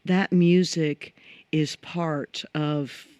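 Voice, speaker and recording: A middle-aged woman singer with a low voice, chatting as a guest in a studio interview, reflective and full of restarts. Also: steady tone, extremely slow, a little mellow, clear, resonant tone, no room sound, muffled recording. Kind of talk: casual conversational interview. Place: radio studio.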